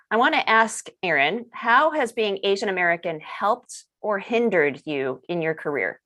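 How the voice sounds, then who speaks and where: A woman moderating a panel discussion, speaking in a measured, reflective way. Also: medium pitch, a bit bright.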